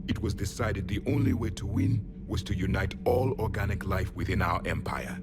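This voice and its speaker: Deep-voiced proud alien warrior, acted by a man, solemn, blunt, imperious, video game drama, loud music behind. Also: normal speed, close to an everyday voice. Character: grim, proud alien warrior, last survivor of fallen empire.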